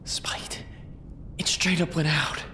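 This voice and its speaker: An actor playing a nervous male podcaster alone in an abandoned building, talking to himself in hushed whispers. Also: fairly even intonation, slow. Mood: tense. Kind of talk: audio drama acting. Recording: echoey space with faint low rumble.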